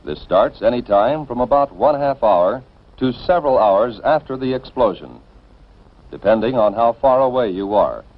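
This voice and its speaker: An American man reading scripted 1950s instructional film narration in an authoritative, deliberate manner, addressing the listener directly and emphatically. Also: mid-range voice. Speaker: narrator of civil defense educational film. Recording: dull band-limited vintage film soundtrack.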